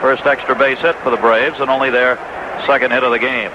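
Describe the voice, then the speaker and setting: A man's voice: medium pitch, level tone, fairly quick. A man doing live baseball play-by-play in clipped descriptive phrases, in the style of 1980s radio sports commentary. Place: stadium broadcast booth. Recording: dull, noisy old AM radio broadcast.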